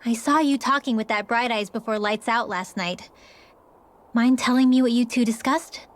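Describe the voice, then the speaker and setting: A woman's voice: a little high, normal speed, lightly acted. A woman playing a feisty, distrustful young female fighter in a video game, blunt and informal. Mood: pointed.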